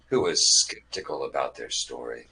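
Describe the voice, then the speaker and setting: A man's voice: extremely low pitch, very animated, normal speed, pushed and bright, a little hiss or hum, low-quality recording. A man narrating comedy sketches in a theatrical, mock-announcer style.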